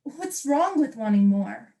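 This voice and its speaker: A high-pitched young wife played by a student actress in a stage drama. She is defiant and wounded, standing up for what she longs to do. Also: sing-song, measured pace, mellow. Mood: defensive, hurt. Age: young adult. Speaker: student actor. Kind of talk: stage play dialogue.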